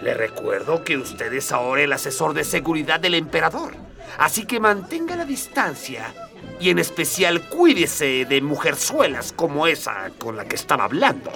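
An actor playing a pompous, scheming old prime minister in a radio drama, speaking with formal, ceremonious manners. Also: male voice, a little high, big pitch swings, bright, forceful tone, far from the mic, faint music behind, exaggerated voice. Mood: cautioning, courtly. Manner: formal, ceremonious, slow-spoken, throat-clearing.

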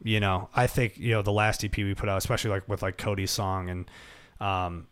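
Young man, low deep speaking voice, laid-back casual chat, musician host. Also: flat delivery, a little fast, a bit bright, smooth. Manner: laid-back, loose, says "dude" and "you know what I mean". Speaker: podcast host and band singer.

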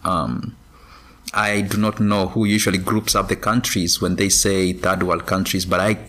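A man from Kenya, a former professional runner, answering interview questions in a measured way with frequent pauses. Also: sits low, little pitch movement, a bit bright. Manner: measured, many pauses and ums.

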